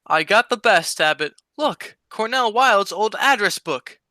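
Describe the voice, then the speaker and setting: A man's voice: high voice, lively intonation, measured pace, pushed and bright, no room sound, clean studio sound, full character voice. A man playing a silly, dim-witted wisecracking sidekick in a 1940s-style radio comedy. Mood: eager. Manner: silly, cheeky wordplay.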